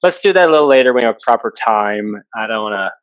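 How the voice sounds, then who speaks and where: Man, podcast host, casual chat, halting and repetitive, mid pitch, dull lo-fi sound. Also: animated, background noise. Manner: thinking aloud, halting with repeated words. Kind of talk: casual discussion.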